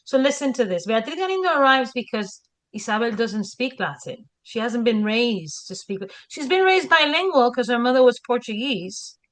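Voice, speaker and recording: A woman's voice: fairly high pitch, moderate pace. A woman from Spain with a Spanish accent, a historian co-hosting a casual podcast chat, telling stories with rhetorical questions and acted-out quotes. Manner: animated storyteller, rhetorical questions, acts out quotes. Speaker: historian co-host.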